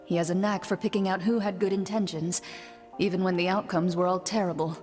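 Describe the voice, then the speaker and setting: A woman's voice: fairly low pitch, steady tone, brisk, lightly acted. Actress as a cool, composed woman over music. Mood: cool, matter-of-fact. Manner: cool, understated, businesslike. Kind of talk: video game voice acting. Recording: game music behind the voice.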